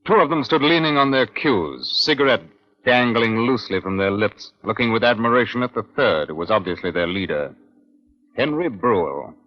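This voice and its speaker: A man's voice: lowish voice, animated. A man playing a firm, probing British Scotland Yard detective in an old radio crime drama. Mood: measured narration. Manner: measured, questioning. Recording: muffled old radio recording.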